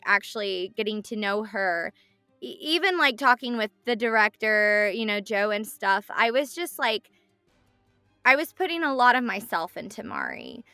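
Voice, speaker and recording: A woman's voice: fairly high pitch, bright, forceful tone, clear, resonant tone, no echo. A woman voice actor speaking with enthusiasm about a character she voices, in an interview. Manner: enthusiastic, affectionate. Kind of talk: interview recollection.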